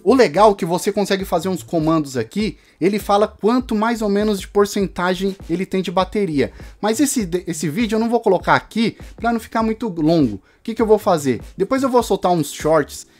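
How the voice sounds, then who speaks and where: A man's voice: high voice, animated, a little fast, hardly any pauses, a little mellow. São man reviewing gear, music behind. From Brazil. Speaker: tech reviewer. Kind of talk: casual product review to camera. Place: small home studio room.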